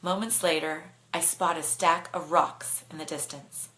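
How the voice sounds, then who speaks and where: A woman's voice: deep voice, steady tone, a little slow, a bit bright, rough recording. A woman reading a bedtime story expressively to online viewers, as its narrator.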